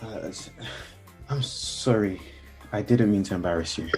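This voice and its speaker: A man acting a mellow, earnest young suitor. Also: on the low side, measured pace, soft background music, lightly acted. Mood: confessional. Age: young adult. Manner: earnest, hesitant. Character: earnest, lovestruck young man, awkward and persistent. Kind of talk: radio play acting.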